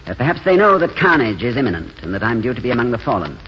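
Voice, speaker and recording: An actor as an animated, fatalistic gentleman wanderer. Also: mid-range voice, racing, natural voice. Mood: wry musing. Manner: literate, musing, theatrical. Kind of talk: 1940s radio drama acting. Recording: muffled, noisy 1940s radio broadcast.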